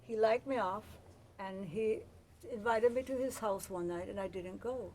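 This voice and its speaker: A woman's voice: medium pitch, moderate pace, a little hiss or hum. American woman with a Jamaican twang. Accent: Jamaican-tinged American. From United States. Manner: chatty, relaxed, laughs easily. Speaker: guest. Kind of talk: casual interview storytelling.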